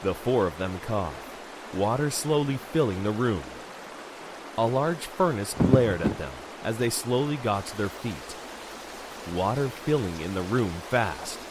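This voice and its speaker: A man's voice: fairly low pitch, lively intonation, measured pace, background noise. A man reading dramatic scripted narration for a horror audio drama.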